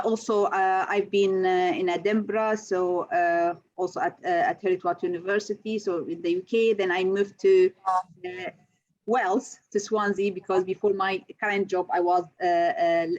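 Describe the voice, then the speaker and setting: A woman's voice: lowish voice, a little mellow. Woman from syria, hesitant, lots of fillers, engineering lecturer, slightly dull recording. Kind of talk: interview answers.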